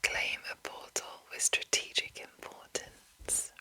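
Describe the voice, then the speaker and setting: A woman whispering in a breathy, unvoiced voice as an ASMR host, with careful, gentle enunciation. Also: slow pace. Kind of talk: whispered ASMR, reading and chatting.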